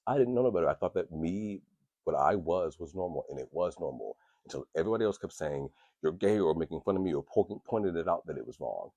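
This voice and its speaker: A man with an extremely low, deep voice that carries a husky, grainy edge. He talks frankly into a podcast microphone, rambling and restarting, and swears freely. The sound is close and dry, with no room around it. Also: lively intonation, fast, warm tone, dry recording, lo-fi sound. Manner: candid, profane and rambling, with many restarts. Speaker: podcast host. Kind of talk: casual podcast talk.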